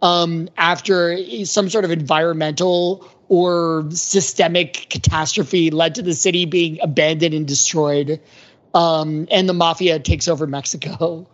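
A man's voice: a little high, fairly even intonation, unhurried. A man talking casually as a podcast panelist about pop culture, in a wordy, rambling, analytical way. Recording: dull lo-fi audio.

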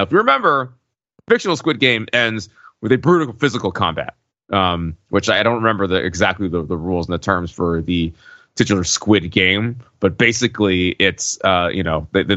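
A man with a slightly low voice speaks casually on a podcast panel, feeling his way haltingly through his thoughts. The sound is very dry and a bit dull. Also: sharp tone, dry recording. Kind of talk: casual discussion.

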